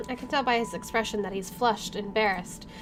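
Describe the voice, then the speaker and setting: A woman's voice: mid-range voice, moderate pace. A woman hosting a visual novel playthrough, reading the narration aloud and commenting in an informal, reactive way. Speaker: gaming video host. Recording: music behind the voice. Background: game music.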